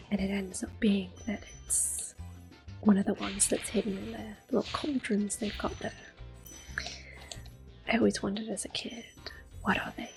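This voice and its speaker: Female ASMR gameplay host: soft-spoken to whispered, unhurried delivery, medium pitch. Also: creaky, rough recording. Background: game music.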